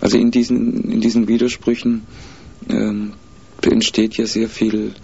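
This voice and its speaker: A man answering interview questions in a measured, hesitant way, with frequent pauses and fillers as he thinks aloud. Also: lowish voice, completely flat delivery, vocal fry, darkish tone, breathy edge. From mixed German, Spanish, Italian and Peruvian roots. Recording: dull lo-fi recording.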